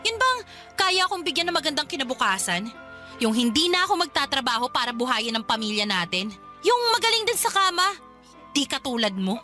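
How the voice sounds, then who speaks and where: A woman's voice: high-pitched, very animated, a little fast, pushed and bright, faint music behind, exaggerated voice. An actress with a Filipino accent playing a cruel, scornful young woman in a radio drama, taunting and impatient. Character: scornful, cruel young female partner, cold and mocking.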